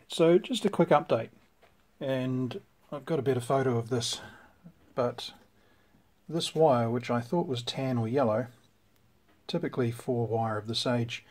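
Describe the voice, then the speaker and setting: A man with a New Zealand accent giving a casual, conversational explanation at a workbench as a radio repairer, thinking aloud. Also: medium pitch. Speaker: vintage radio repairer presenting. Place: indoors at a workbench. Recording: clear background noise with clicks.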